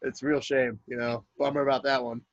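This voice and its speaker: A man's voice: mid-range voice, level tone, fast talker, creaky, dry recording, muffled recording. A man in casual podcast chat, joking crudely, calling in from a camper trailer. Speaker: podcast guest.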